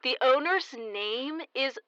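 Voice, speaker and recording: A woman's voice: high-pitched, animated, slow pace, a bit bright, clear, resonant tone, no echo, muffled recording, heavily acted. A woman playing a brash, jokey morning radio host in an audio comedy, full of punchy teasing banter. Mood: gleeful. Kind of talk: parody morning radio show.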